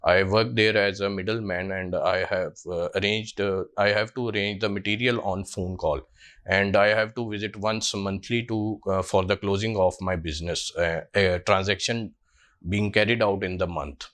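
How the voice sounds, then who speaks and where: A man's voice: low-pitched, fairly even intonation, moderate pace, fairly bright tone. A man in his twenties with a Pakistani English accent, answering a job interview panel hesitantly with many fillers and restarts. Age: 20s. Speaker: job candidate.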